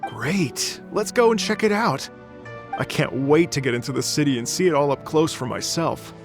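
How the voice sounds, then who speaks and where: A dreamy, courteous young musician from a video game, with a fairly high male voice. He speaks in an earnest, lyrical way, and music plays beneath him. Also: lively intonation, moderate pace. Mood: awed, delighted. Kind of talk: video game character acting.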